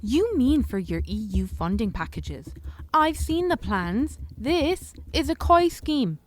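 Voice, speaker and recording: A woman's voice: a little high, big pitch swings, slow, dry recording, heavily acted. A woman acting a sceptical, indignant parliament delegate in a radio play, formal and challenging. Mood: indignant, dismissive.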